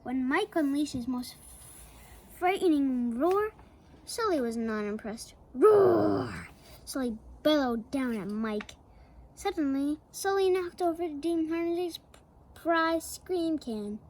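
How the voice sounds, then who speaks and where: High-voiced woman reading a storybook aloud. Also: lively intonation, darkish tone. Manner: slow reading with playful asides to the listener. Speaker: children's book reader. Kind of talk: read-aloud storytelling for kids.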